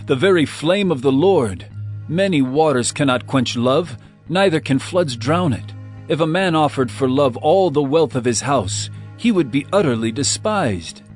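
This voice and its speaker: An actor playing an adoring royal lover, declaiming love poetry in a measured, ardent way for a dramatized scripture audiobook. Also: male voice, mid-range voice, close to an everyday voice. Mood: ardent, solemn. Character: adoring royal male lover praising his bride. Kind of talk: poetic dramatized scripture reading.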